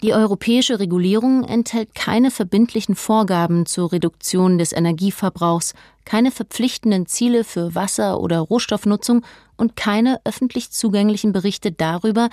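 A woman's voice: mid-range voice, normal speed, mellow, no echo. A woman narrating a scripted news documentary as a reporter, recorded close on a studio microphone.

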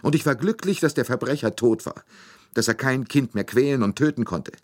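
A man voicing a grief-stricken young woman who is crying, her voice high and breaking as she sobs. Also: expressive, normal speed, a bit bright, no echo, clean studio sound, heavily acted. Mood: tearful. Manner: high, strained and sobbing, with the voice breaking.

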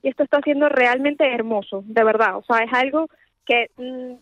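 A woman's voice: high voice, normal speed, a bit bright, dry recording, highs cut off. A Venezuelan woman interviewed on the radio, speaking earnestly and repeating words as she searches for them. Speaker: guest, charity organizer.

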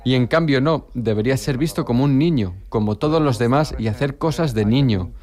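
A man's voice: mid-range voice, brisk, a little mellow. A man giving a translated voice-over of an interview, in the role of interpreter.

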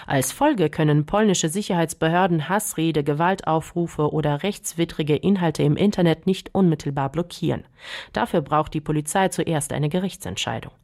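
A woman with a low voice files a radio news report. She speaks in a measured, formal way, one sentence after another. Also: a little mellow. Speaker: radio correspondent.

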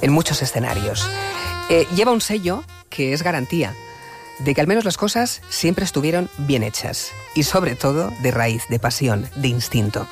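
A man hosting a late-night radio interview, speaking in a measured, reflective way and leading his guest with questions. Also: fairly high pitch. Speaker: radio host.

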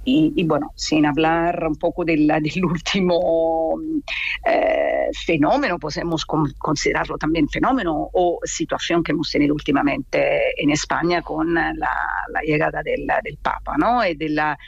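Husky, creaky woman with an Italian accent. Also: deep voice, no echo, muffled recording. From Italy. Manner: halting, hesitant delivery with drawn-out pauses. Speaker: interview guest, feminist activist. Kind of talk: interview answers, explanatory.

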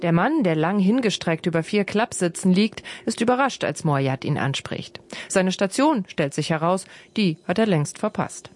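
A female radio reporter narrates her report in a steady, descriptive manner at an ordinary pitch. A faint background hiss sits under her voice. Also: moderate pace, hardly any pauses. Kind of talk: scripted news report narration.

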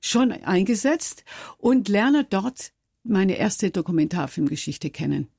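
A woman with a mid-range voice, recorded dry and close. She answers at an unhurried pace, hesitating and searching for words as she recalls her early work. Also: medium pitch, lively intonation, creaky, a little mellow, breathy edge, no room sound. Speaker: guest, dance teacher and filmmaker. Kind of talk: conversational interview answers.

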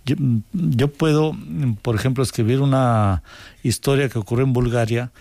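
A man from northern Mexico with a Mexican accent, a writer answering interview questions thoughtfully, pausing and restarting often. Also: mid-range voice, darkish tone, no echo, studio quality. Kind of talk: conversational interview.

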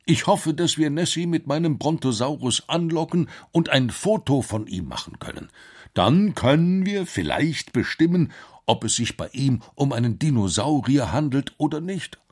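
Male narrator as eccentric professor, high pitch, very animated, eager exclamations, children's adventure story. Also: moderate pace, breathy edge. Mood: eager, hopeful. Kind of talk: children's radio story character.

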